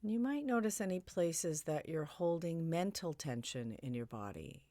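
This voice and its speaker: A woman leading a guided meditation in a soothing, gently suggestive way, her phrases broken by long pauses. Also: sits low, expressive, dry recording, studio quality.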